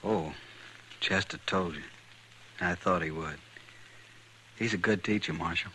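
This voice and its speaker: An actor playing a resigned, quietly determined newcomer out West in a 1950s radio western, polite and measured. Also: male voice, deep voice, poor audio. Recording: old 1950s radio recording, faint noise.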